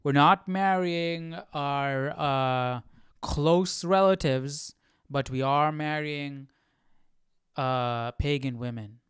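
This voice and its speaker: A man's voice: fairly high pitch, very slow, a bit bright, clear, resonant tone, dry recording, clean studio sound. A man hosting a live Bible study stream, chatting casually and jokingly as he thinks aloud. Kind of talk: casual chat, reacting to a reading.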